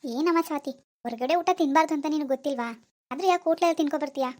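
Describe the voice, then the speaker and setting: In a family drama, a woman plays an exasperated mother-in-law who scolds at a brisk clip, her voice pitched very high. She nags and frets without pause. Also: darkish tone, natural voice. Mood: scolding.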